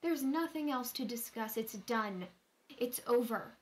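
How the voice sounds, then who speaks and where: A woman's voice: a little high, animated, unhurried, in a large hall. An actress playing an intense, needy young woman in a stage drama reading. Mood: insistent.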